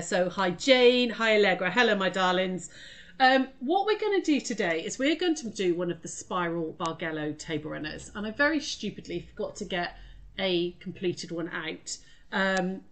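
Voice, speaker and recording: A woman in her forties who is full of cold, her voice snuffly and stuffed up. She chats in a bubbly, friendly way, greeting viewers one by one as she hosts a sewing tutorial. She is picked up by a desk webcam in her home craft studio. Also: lowish voice. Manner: bubbly, greets viewers by name, laughs often. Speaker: craft shop owner hosting a live sewing tutorial. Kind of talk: chatty live stream.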